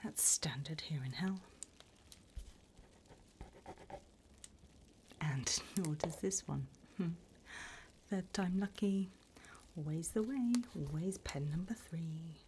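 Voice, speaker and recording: A woman's voice: deep voice, animated, slow, long pauses, darkish tone, a little hiss or hum. A woman voicing a sly, teasing demon job interviewer from hell in a whispered, mock-formal ASMR roleplay. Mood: dry amusement. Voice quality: breathy whisper.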